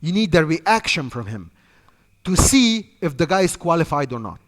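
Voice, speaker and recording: Egyptian guy coaching sales reps, kinda high voice, repeats himself a lot, big echoey room. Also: very animated, moderate pace, a bit bright. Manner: repetitive, emphatic coaching, talks off the cuff. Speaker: sales trainer. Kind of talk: instructional talk to trainees. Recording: long hall reverb.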